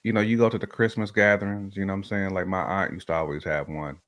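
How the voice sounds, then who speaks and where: A man's voice: deep voice, level tone, fast, fairly bright tone. An American man chatting casually on a relationship podcast, using slang and repeating phrases for emphasis.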